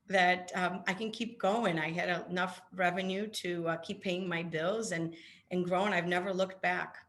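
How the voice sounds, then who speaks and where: A Polish-born woman with a slightly low voice, whose first language is Polish, speaks as an interview guest. She tells her own story earnestly, circling back and restarting phrases as she goes. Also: a bit bright. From Poland. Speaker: guest, business founder. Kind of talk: interview conversation.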